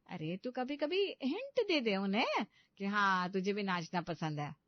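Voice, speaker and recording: A woman's voice: medium pitch, big pitch swings, unhurried, dry recording, highs cut off. A woman voicing a bossy, insistent middle-aged mother in a Hindi comedy cartoon. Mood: exasperated.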